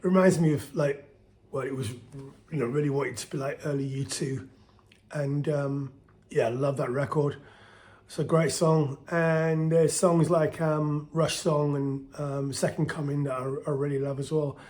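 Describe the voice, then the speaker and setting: A middle-aged man talks casually in a soft, slightly high voice. He rambles warmly through a long story, restarting sentences and leaning on fillers as he goes. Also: level tone, unhurried. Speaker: band singer telling its history. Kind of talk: casual spoken storytelling to camera.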